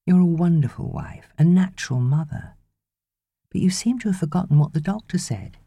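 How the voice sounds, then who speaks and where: A woman's voice: on the low side, animated, normal speed, dark tone, slightly rough, no echo, pitched above the performer's own voice. A woman voicing an earnest, loving husband and father in an audiobook, pleading and persuading emphatically. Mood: exasperated concern.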